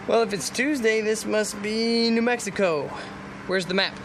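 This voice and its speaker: Breezy newlywed husband, road noise behind. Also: male voice, high-pitched. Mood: wry, reassuring. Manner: wry, joking, unhurried. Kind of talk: radio drama acting.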